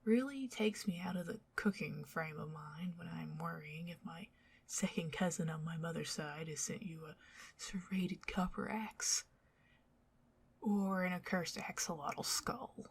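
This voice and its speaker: An older vampire lady, acted by a woman for an ASMR roleplay, bewitching, possessive and archly theatrical. She whispers in a husky, breathy voice full of creak, taking her flowery phrases very slowly. She dotes and fusses with old-fashioned grandeur. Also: lowish voice, full character voice. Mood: fussy, doting.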